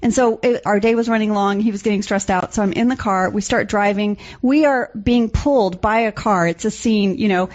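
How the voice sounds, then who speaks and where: A woman's voice: medium pitch, fairly quick, few pauses, darkish tone, highs cut off. A woman, born in Louisiana and raised in Indonesia, guesting on a radio show and telling bubbly, laughing anecdotes with acted-out quotes. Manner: bubbly, laughing, acts out quotes in funny voices. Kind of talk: casual anecdote chat. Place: radio studio.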